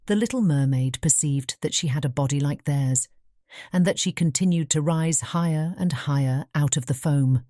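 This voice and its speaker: Low, mellow British woman narrating. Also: moderate pace, no echo, clean studio sound. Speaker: audiobook narrator. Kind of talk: scripted storybook narration.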